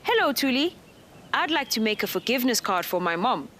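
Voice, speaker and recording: A woman's voice: fairly high pitch, big pitch swings, brisk, fairly bright tone, a little hiss or hum. Cheerful woman presenting a kids' craft show. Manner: cheerful, patient step-by-step instructions. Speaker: children's TV presenter. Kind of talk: craft demonstration for children. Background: music.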